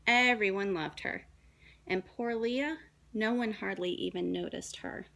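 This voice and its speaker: A woman's voice: mid-range voice, vocal fry. Woman reading a children's storybook expressively. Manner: dramatic, deliberate phrasing with hushed and emphatic moments.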